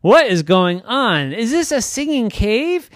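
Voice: medium pitch, slow pace, no room sound, clean studio sound. A storyteller voicing an adventurous young girl explorer who jumps from boredom to thrilled squeals. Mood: startled. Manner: squealing, breathless excitement.

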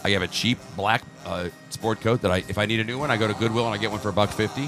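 A man co-hosting a talk show, bantering and telling jokes in a loose, animated way. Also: fairly low pitch, rapid-fire, music in the background. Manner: joking, animated storyteller. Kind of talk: casual banter.

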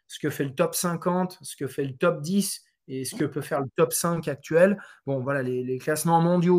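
A man from France with a fairly high voice answers interview questions in relaxed conversation. He hesitates a lot, filling gaps and restarting his sentences as he explains. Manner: hesitant, many fillers and restarts. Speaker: Trackman representative on golf tours.

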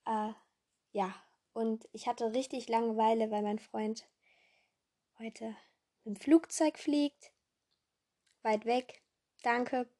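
Hoarse, chatty young woman. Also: a little high, fairly even intonation, takes it slowly, pauses often, warm tone. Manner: playful, many fillers and self-corrections, voices jumping up and down. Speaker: podcast host. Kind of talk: rambling casual chat.

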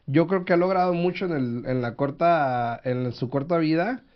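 A man's voice: a little high, moderate pace, lo-fi sound. A man, hosting an interview in a joking, playful way. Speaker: host. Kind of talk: casual interview chat.

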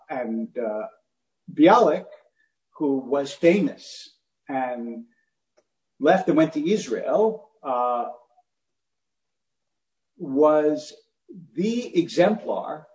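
A man's voice: fairly high pitch, darkish tone, echoey room, poor audio. A man giving a conversational sermon with chuckling asides, telling a story.

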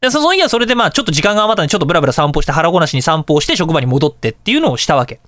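A man hosting a radio show, telling anecdotes in a casual, chatty, animated way. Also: fairly high pitch, racing, dry recording, studio quality. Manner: animated, chatty storytelling with colloquial asides.

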